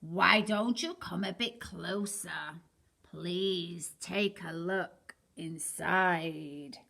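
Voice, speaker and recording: A woman voicing a sly, menacing shark from a children's storybook, coaxing with drawn-out words. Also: on the low side, creaky, pushed and bright, voice pushed down, heavily acted. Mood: sly menace. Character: sly, menacing grinning shark luring prey.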